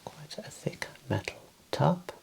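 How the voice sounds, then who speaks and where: Slow whispering ASMR man. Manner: gentle, unhurried, soothing. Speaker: ASMR performer playing an optician. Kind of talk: whispered ASMR eye exam roleplay. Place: small room indoors.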